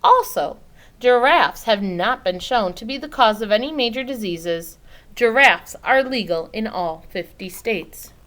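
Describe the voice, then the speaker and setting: A woman's voice: a little high, expressive, measured pace, fairly bright tone. A woman reading a storybook as an eager, articulate young girl who argues her case precisely and earnestly. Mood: earnest, persuasive.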